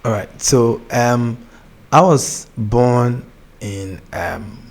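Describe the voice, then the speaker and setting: A young Ghanaian man with a Ghanaian accent, a gospel artist chatting as an interview guest, soft-spoken, laughing easily and often restarting his sentences. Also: medium pitch, extremely slow. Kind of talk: casual interview chat.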